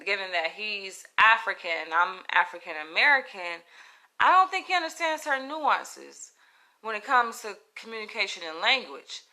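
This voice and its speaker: A woman with a Southern US accent talks to camera in a piercing, very bright voice. She tells her story frankly and with lots of animation. Her speech is loose, casual and sprinkled with profanity. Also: medium pitch. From Florida, US. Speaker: comedian.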